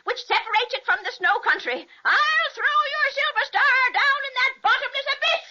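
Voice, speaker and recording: A wicked old witch in a vintage radio serial. Her shrill voice is bright and swings wildly as she spits menacing threats and cackles. The recording is dull and aged. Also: lively intonation, normal speed, heavily acted. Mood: bitter, spiteful. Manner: theatrical, menacing incantations and threats.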